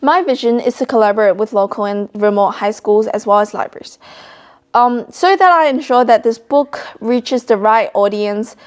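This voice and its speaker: High-voiced teenage girl from China. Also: moderate pace. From China, later Australia. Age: teens, high school student. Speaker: interviewee, student author. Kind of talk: interview answers about own project.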